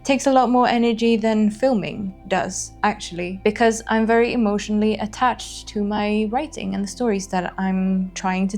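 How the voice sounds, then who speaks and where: A woman's voice: mid-range voice, darkish tone. A young woman from northern Sweden, an illustrator, talking to camera in a casual, thoughtful, frank way, with fillers like "like" and "so yeah.". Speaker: illustrator and video creator. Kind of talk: casual reflective talk to camera.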